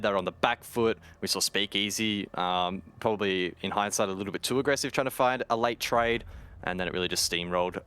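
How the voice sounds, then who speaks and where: A man casting a live esports match, measured in analysis and quick when calling the action. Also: low-pitched, a bit bright, clear, resonant tone. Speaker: esports caster. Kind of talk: live play-by-play commentary.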